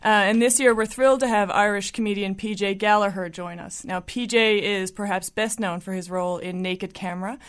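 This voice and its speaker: A woman guest on a radio show talks about the event she organizes. She is friendly and promotional, with easy laughter, and her pitch sits in the middle of the range. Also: fairly even intonation, fairly quick, few pauses, smooth. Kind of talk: casual radio chat.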